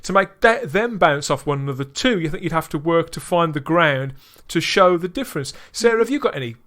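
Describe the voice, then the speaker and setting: A man hosting a podcast discussion, animated and steering questions to his co-hosts. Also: on the high side, fairly quick. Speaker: podcast host.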